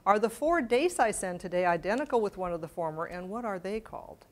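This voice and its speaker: An older woman giving a public lecture, reading letters aloud in a measured, precise way and adding informal asides. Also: medium pitch, expressive, darkish tone. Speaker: guest lecturer. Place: medium-sized library meeting room with seated audience. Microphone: lapel mic.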